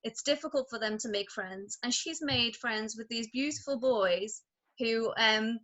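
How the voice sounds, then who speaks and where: A woman's voice: a little high, measured pace, pushed and bright. A mother and group facilitator talking on a video call and praising things effusively.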